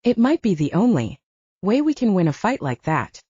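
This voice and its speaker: A woman's voice: medium pitch, very animated, normal speed, mellow, slightly rough, dry recording, muffled recording. A woman voicing an earnest, stubborn young male werewolf hero in an audiobook. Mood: resigned. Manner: pleading, wry, sighing.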